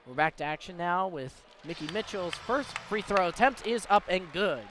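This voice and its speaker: A man's voice: sits high, expressive, normal speed. An American man doing live radio play-by-play commentary of a basketball game. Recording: live broadcast with clear background noise. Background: basketball game ambience, bouncing ball.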